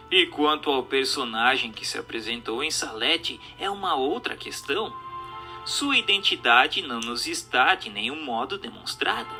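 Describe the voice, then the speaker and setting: Bright, forceful Brazilian male narrator over music. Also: medium pitch. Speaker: narrator reading a spiritist book aloud. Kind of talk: scripted dramatized audiobook narration. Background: music under the voice.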